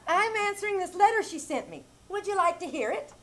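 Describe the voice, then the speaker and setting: A woman's voice: extremely high pitch, sing-song, a little fast, slight background noise. A woman playing a scatterbrained, cheerful young wife in a live old-time radio comedy, chirpy and prone to verbal slips. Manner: chirpy, malaprop-prone, giggly. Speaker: actor playing Jane. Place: live theater stage.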